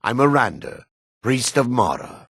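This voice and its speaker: An actor playing a solemn, world-weary dark elf man in a fantasy video game, with a raspy, measured, theatrical delivery. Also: mid-range voice, very animated, no room sound, clean studio sound, full character voice. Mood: agitated.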